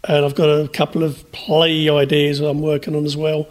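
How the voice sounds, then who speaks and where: A man answering as a writer in a radio interview, in hesitant, measured phrases. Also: a little high, completely flat delivery. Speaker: interview guest, writer and storyteller.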